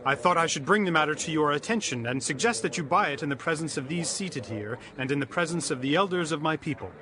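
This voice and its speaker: A man's voice: fairly high pitch, lively intonation, quick pace, crowd noise, natural voice. An actor playing a kindly, upright male landowner in dramatized scripture, earnest, declamatory and authoritative. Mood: businesslike.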